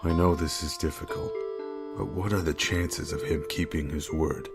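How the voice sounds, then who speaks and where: Man acting a deep-voiced, protective husband over guitar. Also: steady tone, moderate pace. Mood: concerned. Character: protective, skeptical husband and father, teasingly secretive. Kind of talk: audio drama acting.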